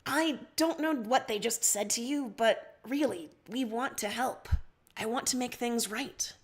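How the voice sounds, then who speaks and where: A woman voicing a young male alien emperor, blunt and awkward, making halting, earnest speeches in a story read aloud. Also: on the high side. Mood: earnest, hopeful.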